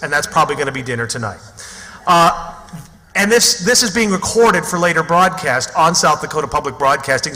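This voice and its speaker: Bright, high-voiced man hosting in an echoey hall. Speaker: host and announcer of a radio theatre show. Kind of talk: live stage introduction and radio narration. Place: live theatre stage. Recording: echoey theatre hall recording.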